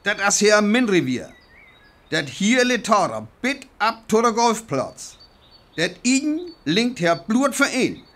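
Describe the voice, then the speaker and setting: An actor with a North Frisian accent playing a jumpy, embittered soldier in a radio play, speaking in gruff, broken phrases. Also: male voice, high-pitched, very animated, a little slow, a bit bright, heavily acted. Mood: suspicious, challenging. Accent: North Frisian (Föhr). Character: jumpy, embittered male soldier holed up after defeat.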